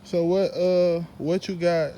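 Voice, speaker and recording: An American man interviews in a relaxed, dark-toned voice that sits a bit high for a man. His questions are informal and slangy. A faint bed of outdoor noise runs underneath. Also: slow pace, poor audio. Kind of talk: casual interview chat.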